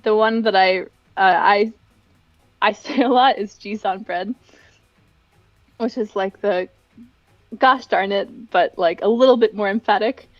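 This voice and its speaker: A woman's voice: mid-range voice, unhurried, pauses often, no echo, lo-fi sound, soft background music. A young adult woman chatting casually and giggly as a livestream guest, rambling through personal stories. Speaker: livestream guest, event director and dancer.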